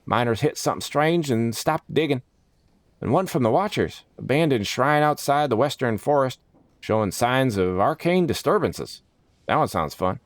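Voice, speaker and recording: Man putting on a high-pitched old guild clerk. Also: animated, moderate pace, no room sound, lightly acted. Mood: businesslike. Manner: matter-of-fact, clipped listing. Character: old male guild clerk, brisk and businesslike. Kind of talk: storybook character dialogue.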